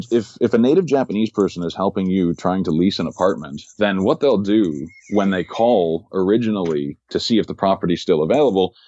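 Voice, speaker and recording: A man with a very low voice tells stories of his real estate work as a podcast guest. He speaks in a measured way, often repeating words while he finds his phrasing. The sound is dry and a little dull. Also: dark tone, no echo. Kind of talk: casual interview storytelling.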